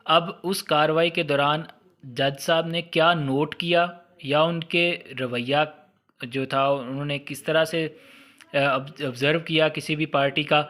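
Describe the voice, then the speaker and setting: A man's voice: a little high, steady tone, measured pace, fairly bright tone. A man with a Pakistani accent giving an informal explanatory lecture, didactic, repeating key points and mixing in English terms. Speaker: law lecturer.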